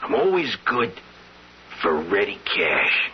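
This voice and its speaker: An actor in a 1970s radio drama playing a tired, muddled, sly old man who talks haltingly and repeats himself. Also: lowish voice, very animated, faint music behind. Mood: coaxing. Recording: muffled, noisy old radio recording.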